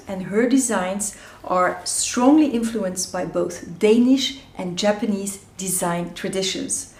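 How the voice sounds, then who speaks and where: A woman's voice: medium pitch, darkish tone. A woman hosting a podcast interview, asking questions with some hesitant filler words. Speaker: podcast host. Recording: roomy echo.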